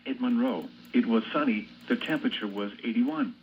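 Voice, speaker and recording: A synthetic male announcer reading an automated weather bulletin in a formal, measured way, played through a radio speaker. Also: medium pitch, muffled recording, a little hiss or hum.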